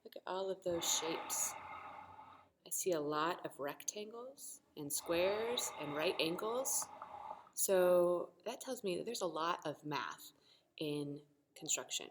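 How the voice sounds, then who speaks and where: A woman teacher reading a storybook expressively to children, pausing to explain simply and ask questions. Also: lowish voice, noisy background. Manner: animated, asks the listeners questions.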